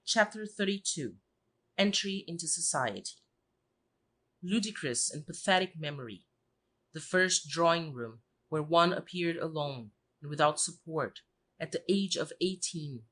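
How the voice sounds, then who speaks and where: A woman's voice: low-pitched, animated, measured pace, a bit bright. A woman reading scripted literary narration as an audiobook narrator.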